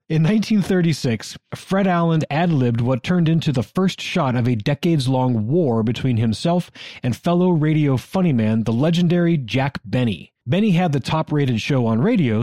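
Mellow man co-hosting, dry close sound. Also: medium pitch, dry recording. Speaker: podcast co-host. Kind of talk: conversational scripted narration of radio history.

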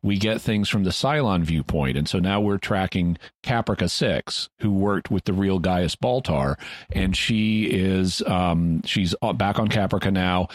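A man's voice: sits low, a little slow, no echo. A man co-hosting a podcast, carefully explaining story background in casual discussion. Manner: explanatory, detailed recaps. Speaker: podcast co-host.

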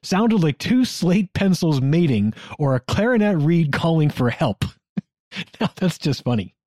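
A man's voice: on the high side, sing-song, unhurried, darkish tone, no echo, clean studio sound. A man co-hosting a podcast, telling history in a scripted but conversational style. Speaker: podcast co-host.

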